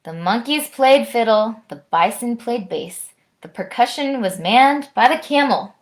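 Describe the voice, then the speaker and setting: An American woman reading aloud and chatting to young children in an expressive storytime manner. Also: fairly high pitch, a little slow. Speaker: orchestral musician, guest storytime reader.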